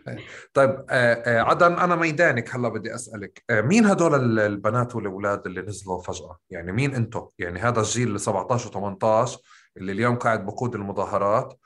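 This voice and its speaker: A man's voice: lowish voice, racing, a bit bright. A man with a rural Palestinian accent from Tulkarm, interviewing a guest in a thoughtful way, often searching for his words. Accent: Palestinian, Tulkarm rural with qaf. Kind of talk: conversational interview.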